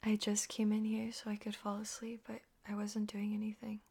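A woman playing a coaxing, evasive partner in an intimate audio roleplay, speaking in a breathy whisper. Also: mid-range voice, completely flat delivery, normal speed, a little mellow. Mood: defensive, coaxing. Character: coaxing, evasive female partner soothing someone at night.

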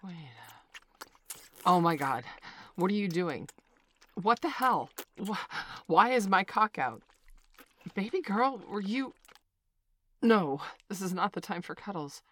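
A woman's voice: mid-range voice, expressive, a little slow. A woman acting a flustered parent in a scripted audio roleplay, who swings between scolding and soothing. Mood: shocked. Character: flustered protective parent, middle-aged.

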